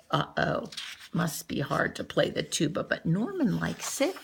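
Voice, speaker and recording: A woman reads a picture book to young children in an expressive, teacherly way. Her pitch swoops with the story and her tone is bright, with a gravelly creak at times. She acts out moments and adds comments on the pictures.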